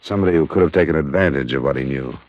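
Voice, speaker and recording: American noir detective, low voice, measured, persistent, dull vintage radio recording. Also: male voice, dry recording. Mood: firm, sympathetic. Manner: matter-of-fact, measured. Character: hard-boiled insurance investigator, methodical and persistent. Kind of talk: scripted first-person radio noir narration and dialogue.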